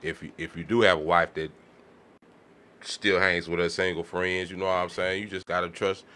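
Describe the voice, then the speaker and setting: Deep-voiced man in casual discussion. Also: level tone, moderate pace, bright, forceful tone. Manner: slangy, conversational. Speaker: podcast panelist.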